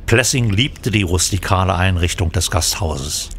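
A man's voice: low-pitched, normal speed, slight background noise. A man playing the storytelling narrator of a historical crime radio play, reading scripted narration. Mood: measured narration.

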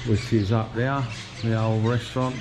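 A man giving a relaxed, chatty walking tour of an outdoor shopping plaza, talking while he walks with his camera. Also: on the low side, normal speed, music in the background. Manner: relaxed, chatty, pointing things out. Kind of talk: casual walking tour narration. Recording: noisy outdoor street recording.

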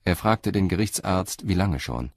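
A man narrating a scripted crime story as a radio drama narrator, in a sober, measured manner. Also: low-pitched, lively intonation, darkish tone, no echo.

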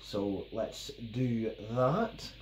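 A Scottish man in his thirties, nasal and hoarse from a cold, chatting casually as a tech host, rambling with many ums. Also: medium pitch, very animated, extremely slow, a little mellow. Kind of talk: casual unboxing and review. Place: small home office, indoors. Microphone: camera mic at the desk. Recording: roomy, faintly noisy home recording.

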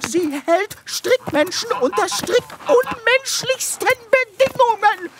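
A woman's voice: very high-pitched, animated, slow, background noise, heavily acted. A woman playing an indignant, scornful alien crusader in a comedy science fiction audio drama. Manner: scornful, emphatic. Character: indignant female alien assistant, crusading against cruel factory.

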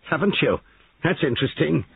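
A man's voice: on the high side, big pitch swings, moderate pace, vocal fry, husky, pitched above the performer's own voice, exaggerated voice. A man voicing a jealous, angry husband in an audiobook story, curt, domineering and accusing. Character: Giles, jealous angry husband, gruff and commanding. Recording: narrow-band lo-fi radio-like sound.

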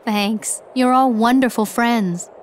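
A woman's voice: on the high side, steady tone, takes it slowly, a little hiss or hum. A woman playing an earnest, modest young female soldier in a video game, sincere and polite. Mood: sad, grateful.

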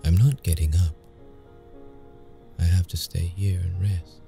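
A deep-voiced, brooding male vampire, acted by a man for an ASMR roleplay, wounded yet seductive. He speaks in a dark, mellow tone with heavy gravel, keeping it intimate and guarded. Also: fairly even intonation, soft background music. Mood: coaxing, intimate. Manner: slow, halting, intimate delivery. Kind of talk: ASMR audio roleplay acting.

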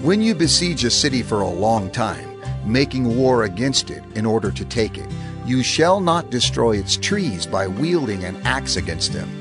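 A man narrating scripture in a measured, formal way for an audio drama. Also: mid-range voice, very animated. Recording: studio narration over music.

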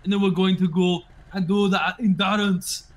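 A man playing a bodybuilder in a game, his put-on Austrian accent thick and his voice high for a man. He jokes in a deadpan way and spells names out slowly over a headset mic. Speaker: roleplayer in a police roleplay server.

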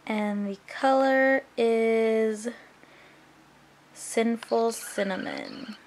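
A bubbly young woman talks straight into a handheld camera, her voice sitting a little high. She rambles cheerfully, with a faint hiss of background noise. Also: drawn-out words, darkish tone. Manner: chatty, upbeat, says "like" a lot. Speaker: vlogger. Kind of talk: casual vlog chat to camera. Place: home, car and gym.

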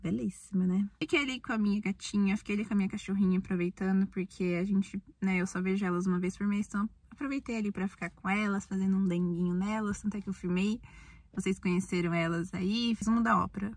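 A young Brazilian woman with a Brazilian accent, chatting casually to camera from a car on the road, rambling and chatty with bursts of excited talk. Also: mid-range voice, fast talker, husky, dry recording. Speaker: vlogger. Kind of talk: casual vlog chat to camera.

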